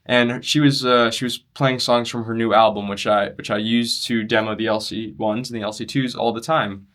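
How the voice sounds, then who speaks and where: A young man in a conversational interview, explaining his loudspeaker design work earnestly and in detail. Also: medium pitch, steady tone, moderate pace. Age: young adult. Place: demo room at an audio show.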